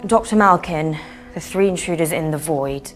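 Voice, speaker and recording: Woman official role for an actress, screen drama: lowish voice, measured, businesslike, composed, faint score. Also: soft background music, natural voice. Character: composed woman official handling a crisis by call. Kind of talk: naturalistic screen acting.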